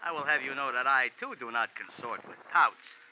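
A man's voice: mid-range voice, moderate pace, pushed and bright. An actor playing a small, touchy male ex-jockey with pompous, wordy, stiffly formal street talk in a 1940s radio drama. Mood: indignant. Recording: dull, hissy 1940s radio recording.

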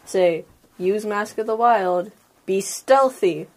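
A woman's voice: mid-range voice, slow pace. A woman talking casually as a player in a tabletop roleplaying game.

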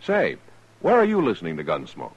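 An American man reading a 1950s radio commercial in a conversational, emphatic announcer style with a husky voice. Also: sits low, huge pitch swings, a little fast, loud music behind the voice. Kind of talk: 1950s radio advertising read. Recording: dull vintage radio recording.

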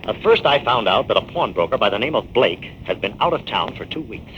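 Shrewd detective hero, American, animated delivery, cool-headed, 1940s radio drama, dull hissy recording. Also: male voice, on the low side, fairly quick. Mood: explaining.

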